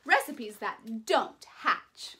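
Bright, high-pitched woman reading a storybook. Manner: animated, deliberate, dramatic emphasis. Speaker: storyteller reading a picture book to children. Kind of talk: expressive children's story reading.

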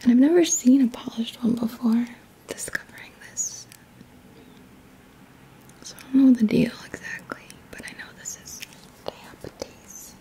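Slow whispering ASMR woman. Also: a little high, low-quality recording. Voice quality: breathy whisper, mostly unvoiced. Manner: gentle, slow, soothing, halting. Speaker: ASMR host, energy healing guide. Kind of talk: ASMR, whispered guided session. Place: small quiet indoor room. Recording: faint background noise.

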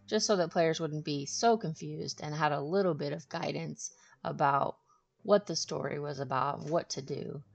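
A woman with a low-pitched voice hosts a video game walkthrough. She talks informally and explains things as she goes, in casual commentary. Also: gravelly, highs cut off.

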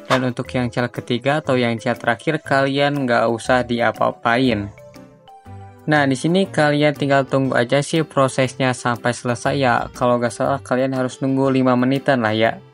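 A man from Indonesia, giving a casual, informal step-by-step software tutorial. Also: mid-range voice, steady tone, normal speed. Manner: informal, repetitive step-by-step instructing. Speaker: tutorial host. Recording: music behind the voice. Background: background music.

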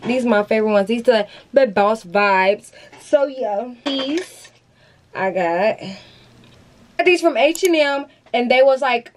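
A young woman hosts a laid-back haul vlog from her walk-in closet, talking straight to her viewers. She sounds bubbly and slangy, and her voice sits a little high. The camera is close in front of her. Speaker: beauty vlogger host. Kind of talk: casual chatty haul vlog. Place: walk-in closet with shoe shelves, indoors. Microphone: camera in front at close range.

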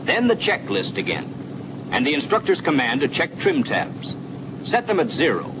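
A man's voice: on the high side, wildly swooping pitch, moderate pace. A man narrating a 1940s military training film in scripted second person, explaining procedures in an instructive way. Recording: old film soundtrack with engine drone. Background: aircraft engine noise.

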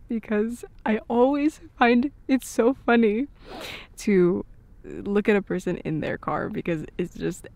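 A woman playing an affectionate, playful young girlfriend in an intimate, soft-spoken ASMR roleplay, with hesitant pauses. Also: medium pitch, no room sound, natural voice. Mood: playful, amused. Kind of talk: intimate ASMR roleplay, scripted but natural.

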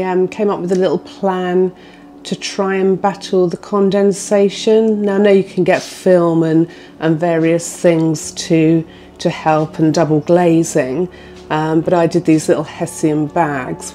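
A woman's voice: on the low side, fairly even intonation, a little slow, warm tone. A woman in her forties, vlogging casually to camera from inside a boat, chatty with frequent ums. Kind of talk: casual vlog talk to camera. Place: inside a narrowboat, small room. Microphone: phone camera at arm's length.

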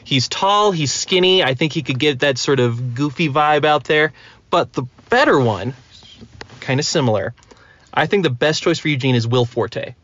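A man's voice: on the high side, lively intonation, normal speed, fairly bright tone. A man chatting casually as a podcast host. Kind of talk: casual chat. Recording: slightly dull, lo-fi sound.

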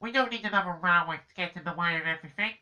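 Figure: a scheming railway-hating villain, as a male narrator puts him on. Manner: cold, curt, sneering. Tone: sharp. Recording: dull, muffled lo-fi. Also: high voice, very fast, voice pushed up.

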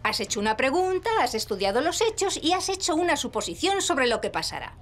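High, lively cartoon preschool teacher. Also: female voice, brisk. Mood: explaining, encouraging. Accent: Castilian Spanish. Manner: animated, patient, explaining to small children. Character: cheerful, encouraging young woman preschool teacher. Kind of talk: children's cartoon dub. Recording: music behind the voice.

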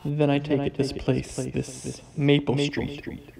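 A woman's voice: a bass voice, level tone, slow, creaky, crowd noise, heavily acted. A woman playing a curious subordinate alien observer in a radio play. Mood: detached, curious.

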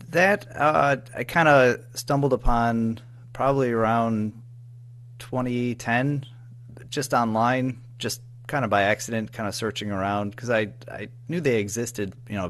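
A man talks as a guest in a casual interview. His voice is fairly low, and his delivery is informal and halting, full of filler phrases. There is a faint hum in the recording. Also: fairly bright tone. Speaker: podcast guest, audio drama writer-producer.

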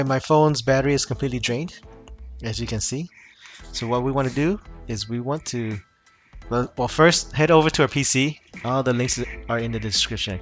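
Casual man talking through a tech fix. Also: medium pitch. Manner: loose, rambling, many fillers and restarts. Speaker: tech tutorial host. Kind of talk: casual screen-recorded tutorial.

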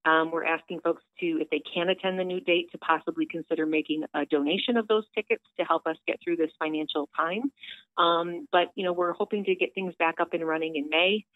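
A woman's voice: fairly even intonation, brisk, dry recording. Chatty woman on a tinny phone line. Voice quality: low voice for a woman. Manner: chatty, many fillers, upbeat. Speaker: theater director calling in. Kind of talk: casual phone interview. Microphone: telephone.

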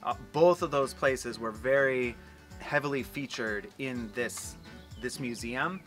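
A man's voice: medium pitch, takes it slowly, fairly bright tone, soft background music. A man in his forties hosting a casual family travel vlog outdoors, chatty and rambling, joking and exaggerating. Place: outdoors on a patio.

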